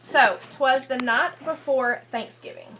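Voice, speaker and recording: Woman, fairly high voice, teacher's interactive read-aloud, prompting questions, repeated answers, faint background noise. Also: measured pace, pushed and bright. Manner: often repeats children's answers back, prompting them with questions. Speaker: teacher reading a picture book to young children.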